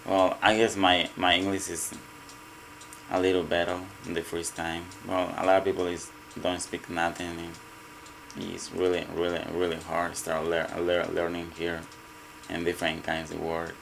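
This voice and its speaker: A man with a Mexican Spanish accent, Spanish his first language, answering interview questions in halting English and often repeating words. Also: sits low, completely flat delivery, vocal fry, fairly bright tone, a little hiss or hum, rough recording. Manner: halting, repeats words, non-native grammar. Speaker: restaurant cook. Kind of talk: casual interview.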